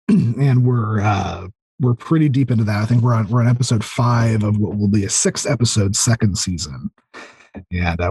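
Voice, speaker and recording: Man, podcast guest, somewhat husky, rambling casual delivery, frequent repeated words, dry recording. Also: mid-range voice, warm tone, no room sound. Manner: halting, rambling, repeats words as he thinks aloud. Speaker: podcast guest, audio drama writer. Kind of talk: casual chat.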